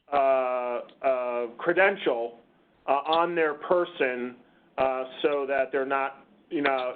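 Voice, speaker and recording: A man moderating an unscripted meeting discussion on a conference call, speaking in a halting, hesitant way. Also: medium pitch. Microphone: conference call line. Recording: muffled, phone-like conference call.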